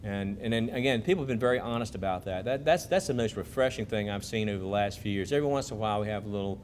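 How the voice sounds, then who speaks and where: A man's voice: lowish voice, very fast, slight background noise. A man, a college admissions officer, giving a conversational talk to parents and taking their questions. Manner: conversational, rhetorical questions to the audience. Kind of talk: presentation to parents with Q&A. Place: school event hall.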